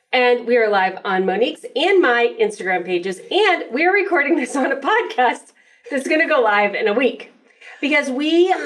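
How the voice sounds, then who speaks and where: A woman's voice: on the high side, a bit bright, recorded from a distance. A woman speaking conversationally as a podcast host. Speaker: professional organizer and motivational speaker.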